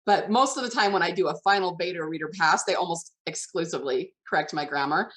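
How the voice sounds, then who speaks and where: Chatty woman interviewer, slightly low voice. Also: fast talker, a bit bright. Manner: chatty, affirming. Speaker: interviewer, host. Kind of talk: casual conversational interview.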